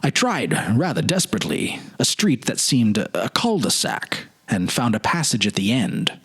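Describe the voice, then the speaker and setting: A man voicing a wistful middle-aged gentleman who hesitantly muses over a childhood memory in a dramatic story reading. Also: lowish voice, big pitch swings, moderate pace, slightly rough, exaggerated voice. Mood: animated, wondering. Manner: hesitant, musing, reminiscing.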